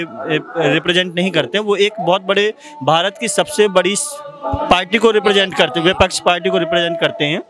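A young man from Madhya Pradesh giving his opinion in a night-time outdoor street interview, talking formally with many pauses. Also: sits high. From Madhya Pradesh, India. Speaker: interviewee, exam aspirant. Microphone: handheld mic.

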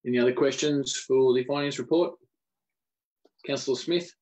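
A man's voice: mid-range voice, fairly even intonation, moderate pace, darkish tone, rough recording. Male meeting chair, Australian, mid-range voice, businesslike and procedural, calling on speakers in short phrases. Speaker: mayor chairing council meeting.

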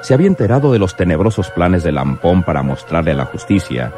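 An actor as the solemn narrator of a crime radionovela, delivering scripted dramatic storytelling. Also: male voice, low voice, sing-song, fast, over music.

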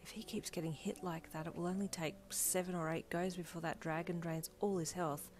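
A woman's voice: fairly low pitch, fairly even intonation, a little fast, a little mellow, natural voice. A woman voicing an anxious young woman, the girlfriend of a game hero, who is worried and puzzled. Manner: worried, puzzled, smug at times.

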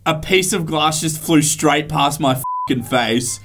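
A man's voice: on the high side, slow pace, noisy background. A man in his twenties co-hosting a gadget video in a studio, chatting casually with slang, jokes and swearing. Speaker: co-host testing gadgets. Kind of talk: casual banter. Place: small indoor studio at a table.

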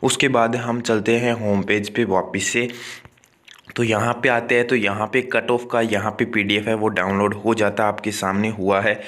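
A man's voice: lowish voice, little pitch movement, normal speed, a bit bright. A man, walking viewers through an admissions website on a screen recording and restating figures as he goes. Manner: addresses viewers as guys, repeats and restates numbers with frequent "yaani ki". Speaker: online tutor for agriculture exams. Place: indoors, voice-over on a phone screen recording.

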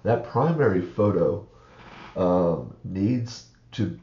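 A man's voice: deep voice, animated, slow pace, roomy sound, dull audio. A man with a froggy, groggy morning voice, rambling through a casual screen-share walkthrough with many fillers. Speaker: online reseller vlogger.

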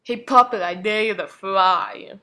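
A woman reading fan questions and dares aloud in an animated way for a comic dub. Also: medium pitch, unhurried.

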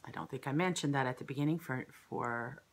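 A woman in her fifties with a croaky throat, chatting at home to the camera as host of a knitting podcast, rambling with many fillers. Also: extremely low pitch, fairly quick, a little hiss or hum. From Canada. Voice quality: slightly croaky. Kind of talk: casual chat to camera. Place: kitchen at home, indoors.

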